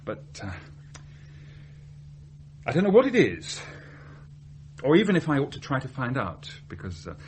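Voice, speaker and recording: An actor playing an earnest, lovestruck research scientist in a radio play, confessing his feelings in a hesitant, deliberate way. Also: mid-range voice, wildly swooping pitch, a little hiss or hum. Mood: hesitant, intense. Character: earnest, lovestruck, self-searching male research scientist from genteel Salisbury. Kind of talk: radio drama acting.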